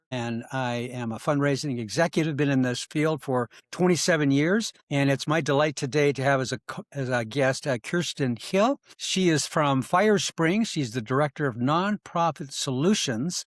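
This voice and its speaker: Man, middle-aged, podcast host, earnest and encouraging, mid-pitched voice, dry sound. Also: moderate pace, hardly any pauses, no room sound. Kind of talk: podcast interview.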